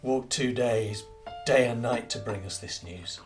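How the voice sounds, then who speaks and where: An actor playing a weary, embittered but loyal revolutionary husband in a theatrical period drama, speaking gravely with halting emphasis. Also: male voice, medium pitch, lightly acted. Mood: grave indignation. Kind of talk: dramatised classic novel, theatrical acting. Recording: guitar music behind the voice.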